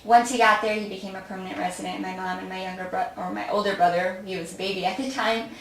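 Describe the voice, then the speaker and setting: A woman's voice: medium pitch, fairly even intonation, fast talker, slight background noise. Woman with a slight Romanian accent, echoey room. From born in Australia, raised in Chicago. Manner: warm storytelling, laughs often. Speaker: interview guest. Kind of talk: casual interview conversation. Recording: echoey room, mic at a distance.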